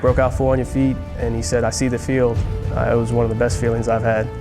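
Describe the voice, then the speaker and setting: Male air traffic controller, measured interview recount, flat pitch, soft music under. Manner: measured, explanatory.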